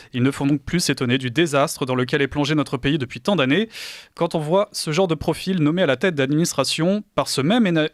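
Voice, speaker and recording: A man's voice: medium pitch, no room sound. Dry-voiced male radio columnist. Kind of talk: scripted political commentary. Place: radio studio.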